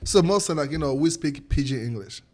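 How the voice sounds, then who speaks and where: A man's voice: fairly high pitch, lively intonation, brisk, darkish tone. Young Nigerian man chatting casually. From Nigeria, Edo State. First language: Edo State local language. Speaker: podcast guest, former college athlete. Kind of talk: casual chat.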